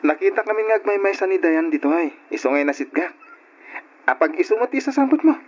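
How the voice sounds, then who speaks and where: High-voiced, animated Ilocano college guy. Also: quick pace. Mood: friendly, teasing. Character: Renz, upbeat playful male college student. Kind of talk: old radio drama acting. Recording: noisy, muffled lo-fi radio recording.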